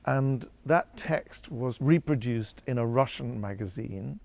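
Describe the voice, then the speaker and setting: A man with a gravelly voice speaks reflectively in an interview, thoughtful and halting as he searches for his words. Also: medium pitch, darkish tone, dry recording.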